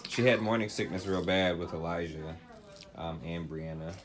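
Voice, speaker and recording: Man, very low voice, casual and offhand, far from mic with chatter behind. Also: moderate pace.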